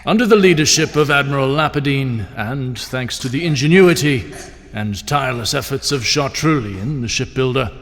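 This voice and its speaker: A man's voice: mid-range voice, moderate pace, a bit bright, close to an everyday voice. An actor playing a grand middle-aged king who gives a ceremonious speech to a banquet hall. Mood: solemn. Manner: ceremonious, rousing oratory. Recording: long hall reverb with crowd murmur.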